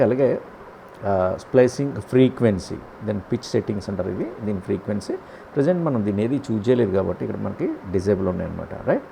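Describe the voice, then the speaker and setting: A man with a Telugu accent giving a step-by-step software tutorial, posing questions and answering them himself with frequent check-in tags. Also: fairly low pitch, gravelly, a little hiss or hum. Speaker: audio editing tutor.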